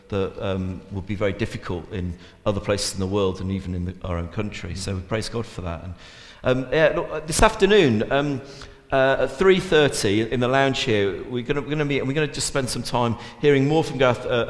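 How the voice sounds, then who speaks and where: A man leading a church service, interviewing a guest in a hesitant way full of ums and restarts. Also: mid-range voice. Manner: hesitant, many fillers and restarts. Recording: echoey church hall, long reverb.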